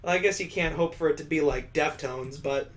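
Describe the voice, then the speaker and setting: A man's voice: on the high side, brisk, a little hiss or hum. A man reading a visual novel aloud as a let's play host, mixing story narration with sarcastic, joking commentary. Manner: sarcastic, wisecracking.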